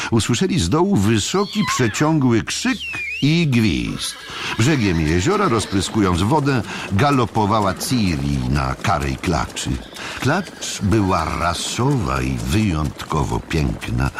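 A man narrating an audiobook drama as a literary storyteller, measured and deliberate, with dramatic pauses. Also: low-pitched, background noise.

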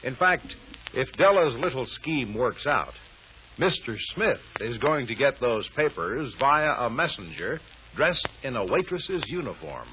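A man's voice: medium pitch, sing-song, unhurried. An American man narrating a scripted old-time radio serial in a wry, conversational storyteller's manner. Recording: dull, noisy vintage radio recording.

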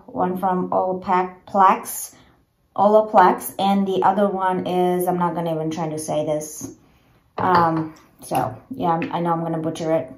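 A woman in her thirties chatting casually through a home hair tutorial, with many fillers and a gentle, way of talking, filmed on a propped phone in a small bedroom. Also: on the low side. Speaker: beauty vlogger. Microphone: phone camera propped low. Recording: echoey small room.

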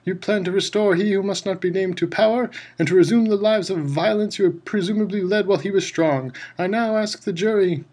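A man's voice: sits high, steady tone, fairly quick, hardly any pauses, voice pushed up. A man voicing a stern, furious middle-aged male judge who bellows and commands. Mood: stern, commanding.

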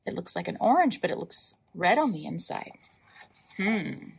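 A woman hosting a library storytime, reading a picture book aloud in an animated way, with emphatic drawn-out words and asides to young listeners. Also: medium pitch, vocal fry, husky. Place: indoors, in front of a wall with floral decals.

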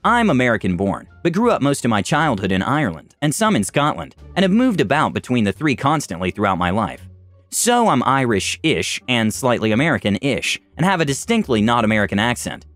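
A man's voice: medium pitch, big pitch swings, a little fast, a bit bright. A man narrating online stories as scripted narration, shifting his voice for quoted people. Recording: dry close voice-over with faint music.

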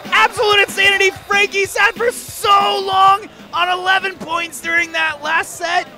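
High-pitched excitable male esports commentator. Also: measured pace. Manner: excitable, explains tactics in detail. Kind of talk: live play-by-play and analysis of a video game match. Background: video game music.

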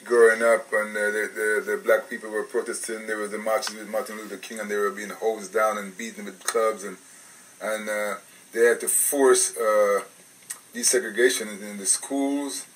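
A man's voice: fairly low pitch, level tone, moderate pace, fairly bright tone, far from the mic, slight background noise, poor audio. A man from England, hosting a radio interview in a rambling, casual way with many restarts. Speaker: radio show host.

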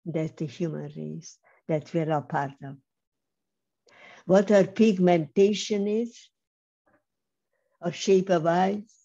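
An older woman giving a measured, emphatic personal testimony over a video call, speaking slowly with pauses. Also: fairly low pitch, mellow. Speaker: Holocaust survivor speaker. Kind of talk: slow personal testimony talk. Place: home room on video call. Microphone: webcam mic on video call. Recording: slightly dull lo-fi video call audio.

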